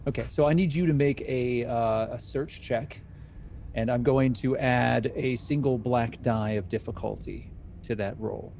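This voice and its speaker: A man runs a tabletop horror campaign as game master, his voice mellow and dark. He narrates scenes in a measured, descriptive way, then turns to the players with questions. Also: mid-range voice, creaky, slight background noise. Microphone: streaming mic.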